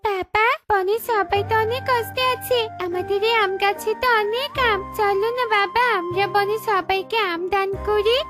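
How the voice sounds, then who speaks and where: A woman's voice: extremely high pitch, moderate pace, hardly any pauses, pitched above the performer's own voice. A woman voicing a sweet, earnest young girl crow in a cartoon fable. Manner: earnest, pleading. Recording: music bed behind.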